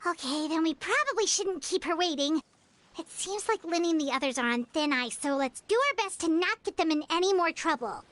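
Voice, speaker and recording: An actress voicing a tiny, childlike female companion creature in a video game, squeaky, earnest and anxious. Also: animated, a little fast, a bit bright. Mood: worried. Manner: speaks of herself in third person, earnest.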